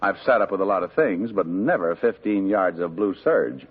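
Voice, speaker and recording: An actor playing a wisecracking private detective who narrates in the first person, with dry, sarcastic quips in a 1950s radio drama. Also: male voice, sits low, moderate pace, breathy edge. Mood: sardonic narration. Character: wisecracking hard-boiled male private eye. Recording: tinny old radio broadcast with music.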